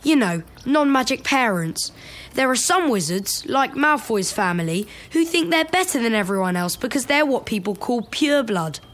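A woman voicing a queasy, indignant schoolboy, hoarse and gasping, in an audiobook. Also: fairly high pitch, animated, normal speed, a bit bright, pitched above the performer's own voice. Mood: explaining, bitter.

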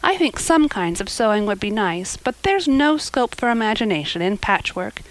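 A woman voicing a breathless, excitable and dreamy young girl in a storybook, chattering and gushing dramatically. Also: mid-range voice, moderate pace, natural voice, rough recording. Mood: wistful. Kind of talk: audiobook character acting.

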